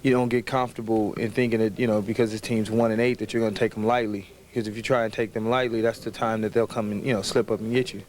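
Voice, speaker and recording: A man's voice: fairly low pitch, flat delivery, fast, hardly any pauses, darkish tone. A man, a football player, speaking off the cuff in a press interview, rambling with frequent "you know".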